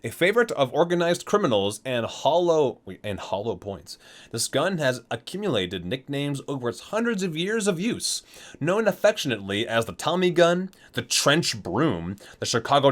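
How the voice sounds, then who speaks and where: A man with a mid-pitched voice reads aloud in an ASMR style. His intonation is very lively, moving across a wide range. He gives titles a theatrical emphasis and adds casual, muttered comments as a host would. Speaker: video host reading a game guidebook.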